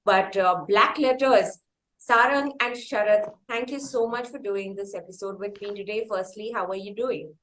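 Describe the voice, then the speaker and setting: A woman's voice: medium pitch, moderate pace, fairly bright tone. A woman with an Indian accent hosts a conversational interview, phrasing her questions in a wordy, effusive way. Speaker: interview show host. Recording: roomy, echoing sound.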